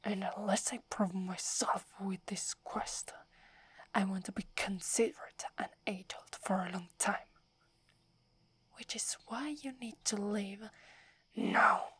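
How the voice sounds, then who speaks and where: A woman's voice: mid-range voice, takes it slowly, lightly acted. A woman with an Italian accent voicing a prickly, proud sixteen-year-old female werewolf in a whispered ASMR roleplay. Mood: flustered. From Italy. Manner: whispering, haughty and scolding.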